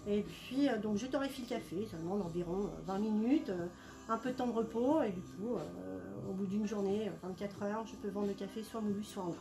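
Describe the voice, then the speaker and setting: A middle-aged woman shop owner answering questions in a small shop, hesitant, pausing often on "euh". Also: medium pitch, creaky, rough recording. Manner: hesitant, frequent "euh" pauses. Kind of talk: casual interview answers.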